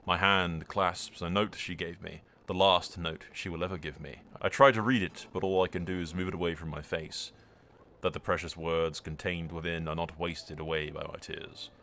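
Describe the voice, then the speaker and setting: A man's voice: sits low, fairly even intonation, moderate pace, sharp tone, slight background noise, pitched below the performer's own voice. A man reading the part of a grieving, devoted fantasy prince in solemn, literary first-person roleplay narration. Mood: solemn grief.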